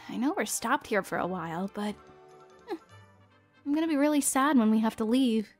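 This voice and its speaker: A woman playing a helpful, spirited young female pirate detective in an audio drama, with a breezy, expressive delivery. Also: on the high side, normal speed, natural voice. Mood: pensive. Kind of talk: fan audio drama acting. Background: music.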